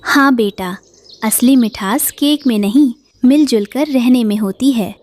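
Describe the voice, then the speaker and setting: A woman's voice: a little high, unhurried, a little mellow, lightly acted. A woman voicing a kind, forgiving mother bird in a Hindi cartoon. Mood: gentle.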